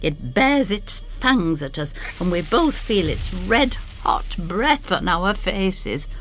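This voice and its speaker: A woman reading a dramatic first-person story in an expressive, theatrical way. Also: fairly low pitch, slow pace, slight background noise. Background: music.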